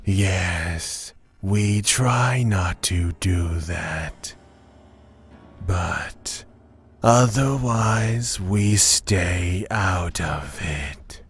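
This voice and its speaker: A man playing a grave, reserved god in a fantasy audio drama, speaking deliberately in a breathy, husky voice. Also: low-pitched, exaggerated voice. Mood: calm, reserved. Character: grave, measured male god of fear and courage. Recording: music under the voice.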